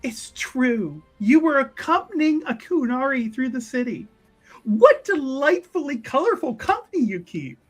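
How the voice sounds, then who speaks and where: A tabletop player voicing a gracious, curious religious sister who speaks formally and haltingly, repeating effusive phrases. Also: high voice, very animated, faint music behind, voice pushed up, full character voice. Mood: excited, curious. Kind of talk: improvised tabletop roleplay.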